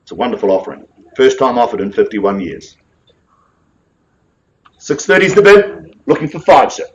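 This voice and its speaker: Booming New Zealand male auctioneer. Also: poor audio. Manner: big booming voice, rapid rhythmic bid-calling with quips. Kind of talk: live property auction calling. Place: auction room with bidders present.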